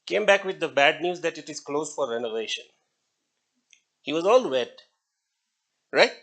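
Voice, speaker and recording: Lively Indian man lecturing a class. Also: sits high. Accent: Indian English. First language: Hindi. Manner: asks the class questions, chuckles between points.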